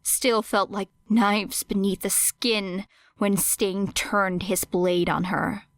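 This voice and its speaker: Slow-paced woman narrating dramatic fiction. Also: medium pitch, little pitch movement. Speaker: audiobook narrator.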